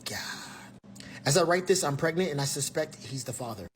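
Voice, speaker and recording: A man reading a story post aloud quickly, with dramatic asides between lines. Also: a little high, expressive, slight background noise, voice pushed up, natural voice.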